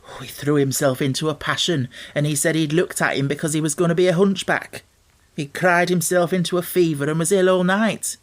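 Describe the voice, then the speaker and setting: A man's voice: a little high, steady tone, brisk, close to an everyday voice. Man playing a plain-spoken Yorkshire housemaid. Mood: gossipy. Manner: homely, dialect speech.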